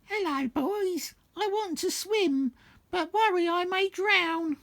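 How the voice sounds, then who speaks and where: A man voicing a stressed older woman in a high falsetto, slow and drawn out, in a comic verse reading. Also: vocal fry, a bit bright, heavily acted. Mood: worried, flirty.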